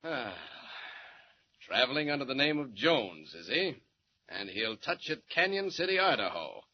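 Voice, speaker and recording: A man's voice: mid-range voice, big pitch swings, a little slow, breathy edge. A man playing a confident, menacing costumed hero in a 1940s radio serial, on a muffled, hissy recording. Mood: stern, threatening.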